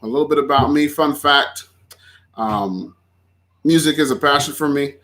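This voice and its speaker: A man's voice: a little high, normal speed, sharp tone. A man in his thirties from Florida, a podcast guest talking in an easygoing, chatty way. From Florida, USA. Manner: easygoing, chatty, laughs easily. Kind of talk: casual conversation.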